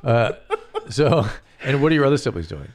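A man's voice: medium pitch, big pitch swings, normal speed, noisy background. A man hosting a podcast interview, asking curious questions with stammered restarts. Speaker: podcast host.

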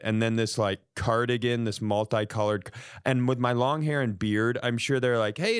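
Self-deprecating man, smooth and dry-recorded. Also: medium pitch, fairly bright tone, no echo. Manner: self-deprecating, joking, swearing. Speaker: comedy podcast host. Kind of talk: casual comic storytelling and banter.

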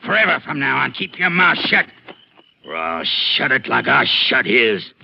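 An actor playing a violent, hot-tempered farmer in a 1950s radio drama, barking threatening orders. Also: male voice, mid-range voice, big pitch swings, measured pace, vocal fry. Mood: panicked, menacing. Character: violent, hot-tempered male farmer turned panicked killer. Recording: muffled, noisy old radio recording.

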